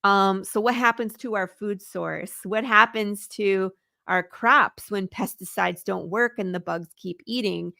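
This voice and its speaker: A woman's voice: medium pitch, unhurried, creaky, bright, forceful tone, no room sound, studio quality. A woman from Michigan with a Midwestern accent, chatting eagerly as a guest and laughing often. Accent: Midwestern American. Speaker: comic creator guest. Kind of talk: casual interview chat.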